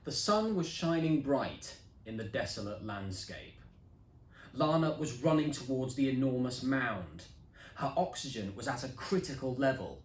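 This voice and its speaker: A young man reading a children's story aloud with dramatic, expressive delivery and slow pauses. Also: medium pitch. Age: young adult. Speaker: reader. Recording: echoey room.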